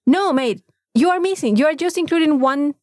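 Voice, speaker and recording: A woman with a Mexican accent telling an anecdote in a casual, animated podcast chat, swearing freely. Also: high-pitched, brisk, no room sound, clean studio sound. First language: Spanish. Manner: animated storytelling with frequent swearing. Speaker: podcast co-host.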